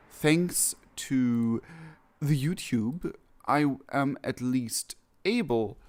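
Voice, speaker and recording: A man giving casual live reaction commentary on a game, rambling and often rewording himself. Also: mid-range voice. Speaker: streamer reacting to game cutscenes.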